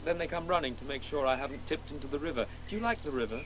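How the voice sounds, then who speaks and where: An actor playing a precocious schoolboy in a radio play, earnest, confident and articulate. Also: male voice, a little high, very fast, background noise, close to an everyday voice. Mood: earnest, chatty. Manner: articulate, insistent, eager. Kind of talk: naturalistic radio play dialogue.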